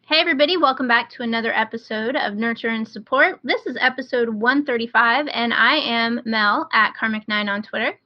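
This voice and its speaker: High-voiced woman hosting a casual podcast. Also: fairly quick, hardly any pauses, no echo. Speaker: podcast host. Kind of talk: casual podcast chat, recommending a show. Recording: dull, lo-fi online call audio.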